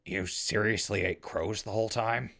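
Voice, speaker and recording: A man's voice: fairly low pitch, steady tone, normal speed, vocal fry, fairly bright tone. A man playing a wry, weary wasteland survivor who runs a radio station, speaking casually and hesitantly in an audio drama. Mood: wry, curious. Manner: casual, hesitant, dryly sarcastic. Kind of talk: naturalistic audio drama acting.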